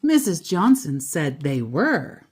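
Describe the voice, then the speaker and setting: A woman reading a children's picture book aloud expressively as a storyteller, with deliberate, dramatic emphasis on descriptive words. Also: low-pitched, sing-song, a little mellow, husky.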